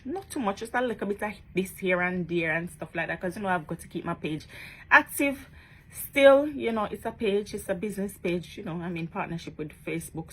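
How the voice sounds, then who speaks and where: A woman's voice: lowish voice, fairly bright tone, slight background noise, rough recording. A woman talking casually to her followers in a vlog, chatty and emphatic, often tagging on questions. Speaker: social media blogger.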